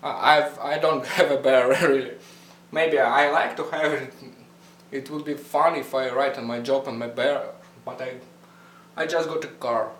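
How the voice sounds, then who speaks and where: A young man with a Russian accent, vlogging in casual halting English, blunt and swearing often. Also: medium pitch, fairly even intonation, a bit bright. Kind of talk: casual rambling vlog. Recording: echoey room, mic at a distance, faint hum.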